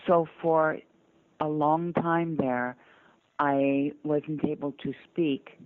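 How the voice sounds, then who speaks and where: An older woman from the southern United States telling personal stories earnestly and deliberately as an interview guest. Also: sits low, steady tone, clear, resonant tone, dry recording. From southern United States (Georgia, North Carolina). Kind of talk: personal storytelling in conversation. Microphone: telephone. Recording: muffled narrow phone-line sound.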